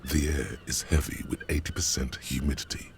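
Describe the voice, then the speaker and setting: An extremely low, creaking inner voice narrates sensations in a dramatic game. It is slow and ominous, addressing the listener directly. Music sits clearly underneath. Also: male voice, steady tone.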